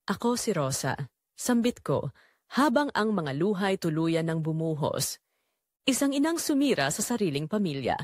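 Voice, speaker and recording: A woman's voice: lowish voice, dry recording, studio quality. Woman acting anguished mother with dramatic swings. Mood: sorrowful. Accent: Filipino. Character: guilt-ridden Filipina mother and wife confessing her betrayal. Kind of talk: dramatic first-person audio drama narration.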